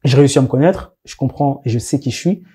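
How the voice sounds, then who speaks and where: A man's voice: medium pitch, fast talker, mellow, husky. A man in his thirties from France, a guest talking casually in an interview with many restarts and fillers. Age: 30s.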